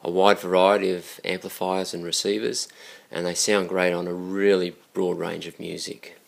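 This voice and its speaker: A man with a very deep voice and plenty of vocal fry. He explains technical points unscripted, as the expert in a promotional video. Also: level tone, normal speed. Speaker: product expert.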